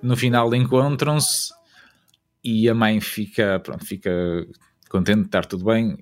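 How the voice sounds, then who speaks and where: A man's voice: fairly low pitch, measured pace, soft background music. A man with a European Portuguese accent casually recalling something personal in an informal, hesitant way.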